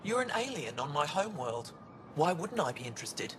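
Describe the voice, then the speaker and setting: An actor voicing a brusque older alien biologist in a video game, with a curt, formal, precise way of talking. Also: male voice, a little high, sing-song, a little fast. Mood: curt, aloof. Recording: clearly noisy game ambience.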